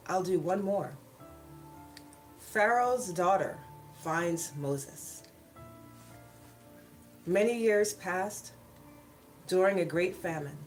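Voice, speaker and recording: Lower-pitched woman reading stories over music. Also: rough recording. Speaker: storyteller and channel host. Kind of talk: read-aloud Bible stories for children, with casual asides to viewers. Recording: background music behind the voice. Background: soft worship music.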